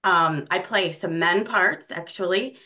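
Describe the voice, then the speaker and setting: A woman's voice: lowish voice, a little slow, pushed and bright. An American woman chatting casually as an interview guest about her work in community theater. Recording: dull, lo-fi sound.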